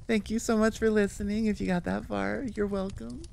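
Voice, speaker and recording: A woman creator chatting casually to her listeners in a rambling outro, halting and thinking aloud with self-aware asides. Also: mid-range voice, little pitch movement, vocal fry, darkish tone, a little hiss or hum. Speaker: audio roleplay creator and host.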